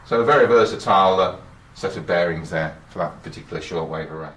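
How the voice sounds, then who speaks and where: Low-voiced man in a roomy space. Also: quick pace, fairly bright tone. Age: middle-aged or older. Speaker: station engineer giving a guided tour. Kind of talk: informal technical tour narration. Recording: roomy echo with faint background noise.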